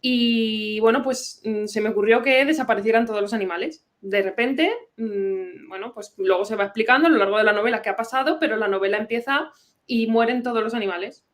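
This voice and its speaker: Chatty woman from Madrid, fairly high. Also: fairly quick. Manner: relaxed, chatty, laughs easily. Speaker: guest, historian and novelist. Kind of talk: casual interview.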